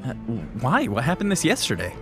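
Nerdy male gamer sidekick; wry, slightly high voice, hugely varied intonation, music behind. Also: huge pitch swings, brisk. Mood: hesitant. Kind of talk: comedic fantasy voice acting.

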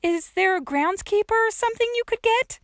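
A woman's voice: very high voice, animated, fairly quick, no room sound, clean studio sound, voice pushed up. A woman voicing a desperate, exasperated young girl, pleading urgently and emphatically in an audiobook.

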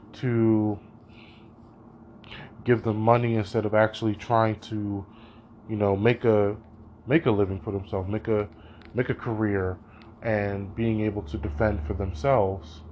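A man's voice: on the low side, lo-fi sound, slight background noise. A young man talking through his thoughts as a student, hesitant and thinking aloud, with many "you know" fillers. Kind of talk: spoken reading reflection.

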